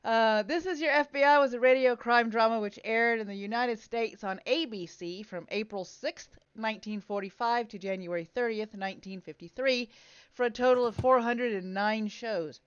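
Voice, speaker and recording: A woman's voice: a little high, moderate pace, clear, resonant tone, dry recording, highs cut off. A woman hosting a casual live stream, chatting and reading show notes close to the mic. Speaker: host.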